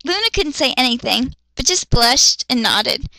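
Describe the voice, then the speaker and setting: A woman's voice: high-pitched, lively intonation, moderate pace, pushed and bright, no echo, rough recording. A woman doing a dramatic reading of fan fiction, with sarcastic, exasperated, foul-mouthed commentary.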